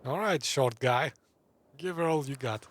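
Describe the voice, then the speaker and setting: A man's voice: medium pitch, measured pace, a little hiss or hum. A man giving casual, wry reaction commentary while playing a video game, informal in his wording. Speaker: gamer commenting on a playthrough. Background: game music.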